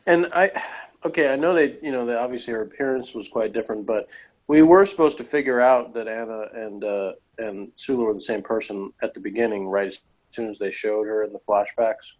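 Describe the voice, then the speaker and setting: A man's voice: fairly low pitch, a little fast, a little mellow. A man giving casual, conversational commentary as co-commentator on a review show. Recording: dull lo-fi online call audio.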